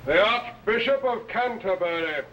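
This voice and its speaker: A man's voice: sits high, lively intonation, normal speed, lo-fi sound. An actor playing an obedient henchman of a medieval king, formal and deferential, in an old television costume drama. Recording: noisy 1950s television soundtrack.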